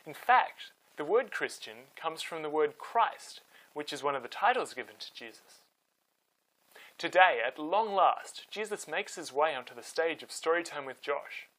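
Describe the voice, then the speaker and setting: A male storyteller with a bright, forceful voice and very lively pitch. He narrates scripted stories for children as the host, with an expressive, theatrical delivery. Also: moderate pace, rough recording.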